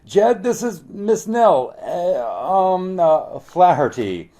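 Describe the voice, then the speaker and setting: A man acts out a genial gentleman, easily flustered and hesitant. His voice is mellow and fairly high, swinging up and down as in a storybook reading. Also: very animated, slow pace, full character voice. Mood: flustered politeness. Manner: hesitant, stumbling. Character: genial, flustered gentleman making clumsy polite introductions.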